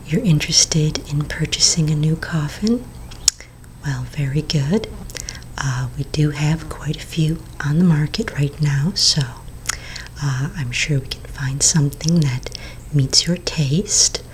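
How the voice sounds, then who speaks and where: A woman voicing a courteous vampire real estate agent in a soft-spoken, mostly whispered ASMR roleplay, polite, unhurried and attentive. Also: low voice, a little hiss or hum, poor audio. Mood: soothing, helpful.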